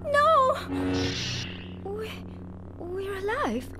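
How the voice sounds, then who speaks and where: Defiant young tribeswoman, very high pitch, lively swings, urgent, orchestral music behind. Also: extremely slow, exaggerated voice. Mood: frightened. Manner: urgent, emphatic. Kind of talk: audio drama acting. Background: orchestral film score.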